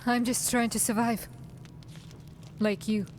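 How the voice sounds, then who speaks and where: A woman playing a terse, guarded drow assassin, higher-voiced. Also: flat delivery, takes it slowly, darkish tone, slight background noise. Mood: determined. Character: guarded, pragmatic female drow assassin, weary survivor. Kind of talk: fantasy video game dialogue acting.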